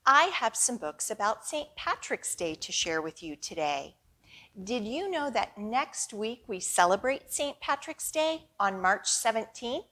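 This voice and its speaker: Slow, lively woman reading to children. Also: fairly high pitch. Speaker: children's librarian and storytime host. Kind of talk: picture-book read-aloud for young children.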